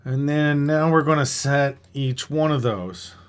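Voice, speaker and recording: A man's voice: on the high side, unhurried, clear, resonant tone. Casual man thinking aloud while working. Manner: thinking aloud, reading and answering chat. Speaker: game developer livestreaming their work. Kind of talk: casual livestream work commentary. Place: indoors at a computer desk.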